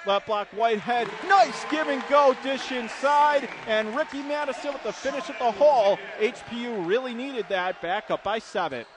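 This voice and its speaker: An American man calling live basketball play-by-play for radio in punchy, urgent phrases from courtside. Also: high-pitched. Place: courtside in an indoor arena. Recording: loud gym noise behind. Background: arena ambience, bouncing basketballs.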